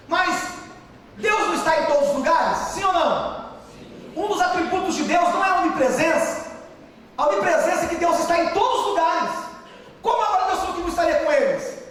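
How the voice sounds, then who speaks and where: Brazilian preacher man, really high voice, shouts a lot, far off in a big echoey church. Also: a little hiss or hum. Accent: Brazilian Portuguese. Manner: preaching, often shouting for emphasis. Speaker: pastor. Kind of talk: sermon. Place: large church hall. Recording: echoey church hall, distant mic.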